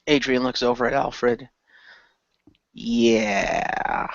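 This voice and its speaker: A man's voice: mid-range voice, steady tone, normal speed, fairly bright tone, no room sound, lo-fi sound. A man narrating his character's actions in an amateur radio play. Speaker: narrator and player.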